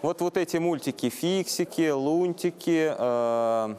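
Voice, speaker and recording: A man's voice: a little high, takes it slowly, a little mellow, a little hiss or hum. A man in his thirties moderating a studio discussion as a talk show host. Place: TV studio with seated audience.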